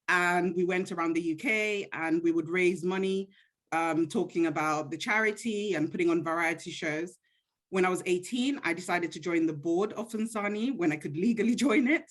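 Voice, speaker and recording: A young woman from Zambia, answering interview questions in a friendly, self-effacing way. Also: fairly low pitch. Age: young adult. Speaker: interview guest.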